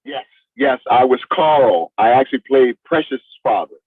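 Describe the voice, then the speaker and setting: A man's voice: mid-range voice, animated, moderate pace, breathy edge, recorded from a distance, phone-quality sound. A middle-aged American man chatting casually as an interview guest, in a colloquial, conversational way. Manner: colloquial, addresses listener as "man".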